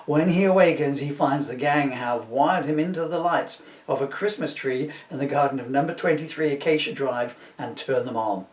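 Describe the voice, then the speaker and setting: A man's voice: medium pitch, moderate pace, hardly any pauses. A middle-aged man chatting informally and reading from his own children's book, with jokey, rambling asides. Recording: roomy echo, distant microphone.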